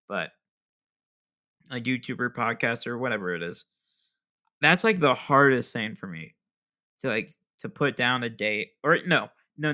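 Young male podcast host, casual rambling delivery, bright tone, self-deprecating. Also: mid-range voice. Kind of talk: casual solo podcast chat.

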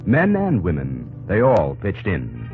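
A low-voiced male narrator delivers scripted radio-drama storytelling with sweeping, highly varied intonation. The vintage recording is muffled, with prominent music behind him. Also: huge pitch swings, takes it slowly.

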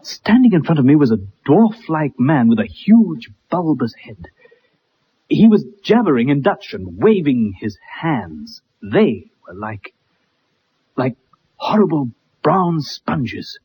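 An actor playing a bitter, haunted young ex-soldier in a dramatic 1960s radio play. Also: male voice, a little high, big pitch swings, slow, slightly rough, full character voice. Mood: haunted, horrified. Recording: muffled, dull old radio recording.

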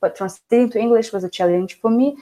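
A woman with Romanian as her first language who grew up in Germany, speaking English in an interview as a novelist, talking haltingly with frequent restarts and self-corrections. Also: a little high, mellow. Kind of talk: casual interview talk.

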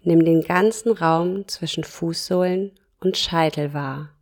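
A woman guiding a yoga nidra relaxation, speaking softly and calmly in short phrases with long pauses. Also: lowish voice. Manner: slow, soft and calm, with pauses between short phrases. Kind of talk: guided relaxation meditation.

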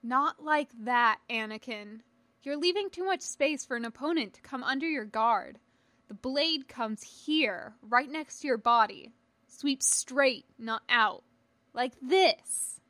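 A principled warrior-monk and teacher, voiced by a woman speaks with firm, formal resolve in a space-fantasy audiobook. The delivery is unhurried and close, with a dry, roomless sound. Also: high voice, lively intonation, sharp tone, dry recording, full character voice. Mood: instructive, dry. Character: principled, resolute male Jedi knight and patient teacher.

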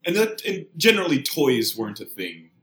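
A man's voice: medium pitch, big pitch swings, moderate pace, fairly bright tone, echoey room. A middle-aged man from Kenya, a podcast guest telling a casual rambling story with frequent laughs. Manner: rambling, laughs often between phrases.

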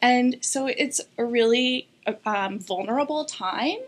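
A woman speech-language pathologist explaining voice and hormones in an interview, steady and informative with frequent ums. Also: on the high side, a little slow. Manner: clear, informative, many ums.

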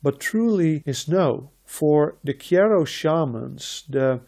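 A man from the Netherlands, a shaman hosting a podcast, speaking in a slow, measured, reflective way with repeated phrases. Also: a little high, lively intonation, gravelly, warm tone. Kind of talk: slow reflective spiritual talk.

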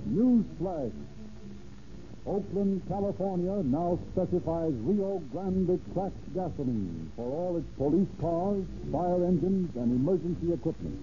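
A man's voice: a little high, expressive, unhurried. A man working as a 1930s radio announcer, delivering sponsor messages and introductions in a formal, declamatory way. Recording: muffled, noisy 1930s radio recording.

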